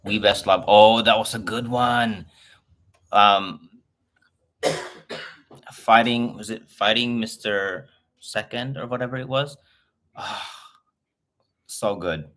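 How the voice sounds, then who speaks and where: Chatty man, lowish voice. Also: takes it slowly, pauses often, fairly bright tone. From Philippines. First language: Filipino. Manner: chatty and effusive, greeting viewers. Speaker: livestream host. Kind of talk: casual livestream chat with viewers. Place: home in Los Angeles.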